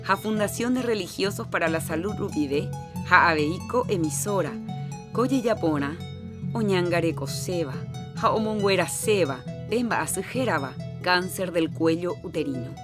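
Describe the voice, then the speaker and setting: A woman hosting a health radio program, explaining calmly and playing a counselor in its drama. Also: fairly low pitch, normal speed. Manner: calm, explanatory. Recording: radio program with background music.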